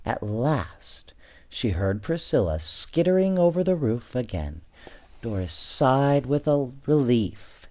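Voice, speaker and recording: A man reading children's storybooks aloud in an expressive, measured way, with casual asides to his young listeners. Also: medium pitch. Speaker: bedtime story reader, host.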